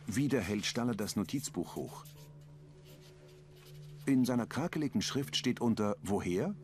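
A man's voice: fairly low pitch, unhurried. Man reading scene descriptions. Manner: plain, even reading of scene directions. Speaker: audio description narrator. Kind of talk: scripted narration.

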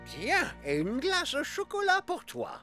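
A falsely sweet male kidnapper, with a high voice that swoops wildly. He is a sly, blustering cartoon villain, heard over music. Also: wildly swooping pitch, unhurried, heavily acted. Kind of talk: French cartoon dub for young children.

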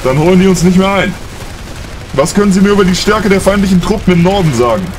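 A man's voice: sits high, lively intonation, fast, noisy background. A man playing an urgent, principled military centurion in a science fiction audio drama, giving clipped, commanding orders. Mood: firm command.

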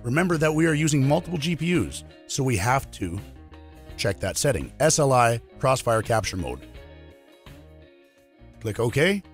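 Hoarse man with a cold explaining tech. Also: mid-range voice, very animated, normal speed, long pauses, faint music behind. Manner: chatty, explains step by step with fillers. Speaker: streaming tech YouTuber. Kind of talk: casual tech tutorial to camera. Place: small home studio indoors.

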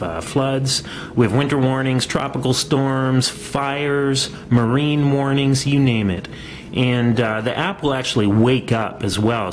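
A man's voice: mid-range voice, low-quality recording. A man presenting a casual hands-on product demonstration, explaining each step as he goes. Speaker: presenter of an app demo. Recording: clear background noise, amateur demo video.

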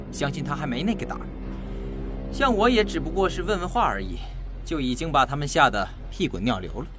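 An actor playing a sly, fawning henchman and shady informant, glib and wheedling, in an audio drama. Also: a little high. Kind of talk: Chinese audio drama acting.

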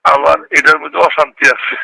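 A man's voice: a little high, level tone, a little fast, creaky, pushed and bright, dry recording. A middle-aged Bangladeshi man chatting casually on a recorded phone call, repeating himself and checking he is understood. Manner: repetitive, often checks the listener understands. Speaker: local politician.

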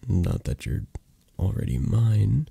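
A man playing a flirty, possessive, tender mafia boss in an intimate ASMR roleplay, hushed and halting, drifting into whispers. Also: lowish voice, big pitch swings, gravelly, husky, no room sound, heavily acted. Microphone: close microphone, dry sound.

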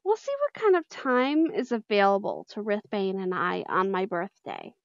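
A woman's voice: medium pitch, animated, normal speed, no room sound, lo-fi sound. A woman chatting casually and in a friendly way to her viewers as a channel host. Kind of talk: casual outro chat to viewers.

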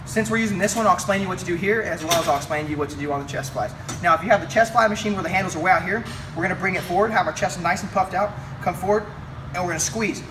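A man's voice: sits high, rapid-fire. A young man coaching a chest workout in a weight room, talking through the moves as he lifts. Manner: energetic, coaching while lifting. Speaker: fitness coach. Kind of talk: workout instruction. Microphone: camera mic from a few feet away. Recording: distant camera audio with room noise.

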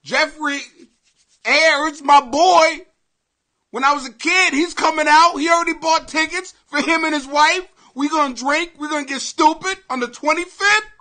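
A middle-aged American man hosting a comedy podcast in a brash, profane, excitable rant that often rises to shouting. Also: extremely high pitch, normal speed. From Greenwich, Connecticut, United States. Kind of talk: ranting comedy podcast monologue.

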